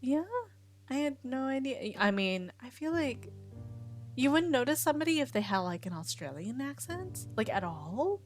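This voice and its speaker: A woman's voice: sits high, sing-song, moderate pace. A young woman with a Midwestern American accent, chatting casually with her stream viewers, giggling and rambling as she reads chat aloud. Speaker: Twitch streamer. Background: faint music.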